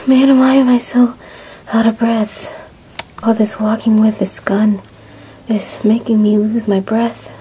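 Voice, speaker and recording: A woman's voice: medium pitch, warm tone, slight background noise, close to an everyday voice, low-quality recording. A woman playing a breathless, injured young woman. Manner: breathy half-whispered murmuring. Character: injured young woman searching for her lost friend. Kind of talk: amateur audio drama acting.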